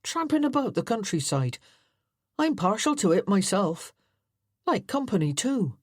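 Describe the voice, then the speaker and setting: Lad, blunt and laconic, put on by a woman, loud and plain. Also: mid-range voice, sing-song, measured pace, dry recording, studio quality, pitched above the performer's own voice. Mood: easygoing. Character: plain-spoken, easygoing country lad.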